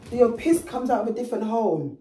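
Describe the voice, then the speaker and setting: A young woman, vlogging in loud, laughing banter full of swearing. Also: fairly high pitch, expressive, fast talker, reverberant, distant mic. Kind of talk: casual chat.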